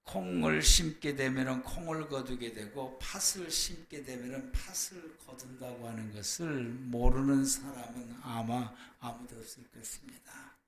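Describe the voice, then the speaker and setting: A man preaching a church sermon as a pastor, hoarse from a cold, in a deliberate, explanatory way with pauses. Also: medium pitch. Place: church, speaking to a congregation. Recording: echoey room with faint background noise.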